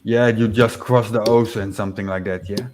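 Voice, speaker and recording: Dutch guy rambling on his stream, lowish voice, lots of uhs, faint hiss. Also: steady tone, normal speed, darkish tone. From Netherlands. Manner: rambling, chuckles, many fillers and restarts. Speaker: livestream host. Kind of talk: casual chat reading viewer comments.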